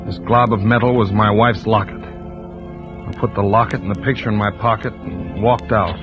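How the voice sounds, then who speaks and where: An actor playing a tense, suspicious fire inspector and family man who narrates his own story deliberately, in 1940s radio drama style. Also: on the low side, fairly even intonation, close to an everyday voice. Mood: brooding suspense. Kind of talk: 1940s radio acting, first-person suspense narration. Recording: muffled old radio recording, loud organ music.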